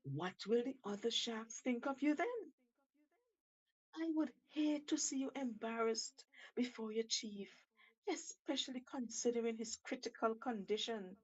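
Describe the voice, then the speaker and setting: A woman's voice: a little high, measured pace, muffled recording, pitched above the performer's own voice. A woman playing a crafty, cheeky male monkey in a told story, with an emphatic, theatrical and taunting delivery. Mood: feigned regret.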